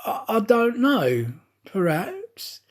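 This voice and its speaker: Man acting a guarded, uneasy detective, pitched up. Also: wildly swooping pitch, very slow, full character voice. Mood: evasive, embarrassed. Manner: hesitant, evasive. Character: guarded, uneasy male detective concealing his purpose.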